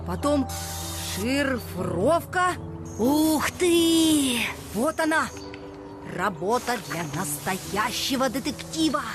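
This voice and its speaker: Very squeaky, excitable cartoon little boy. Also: heavily acted. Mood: amazed, excited. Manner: exclamatory, naive, drawn-out words. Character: excitable, curious, naive little boy alarm clock. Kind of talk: children's cartoon voice acting.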